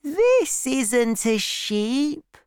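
A little boy from a storybook, as an adult narrator puts him on, high and swooping in pitch. He speaks extremely slowly and simply. He is gentle and unafraid, yet keeps insisting on what he wants. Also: very animated, clear, resonant tone, dry recording, clean studio sound, heavily acted. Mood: critical, insistent. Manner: slow, deliberate, simple speech. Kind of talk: storybook character voice.

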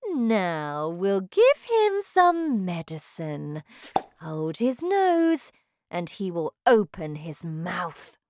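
Woman acting a slow, imperious, cruel witch leader. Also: fairly low pitch, wildly swooping pitch, a little mellow, no room sound, full character voice. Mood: menacing. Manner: commanding, drawn-out, menacing delivery. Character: imperious, cruel Grand High Witch barking orders at underlings.

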